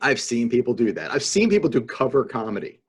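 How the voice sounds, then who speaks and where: A man with a husky, mid-pitched voice takes part in a casual panel chat. He talks in a joking, offhand style over a video call. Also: very animated, fairly quick. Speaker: podcast panelist.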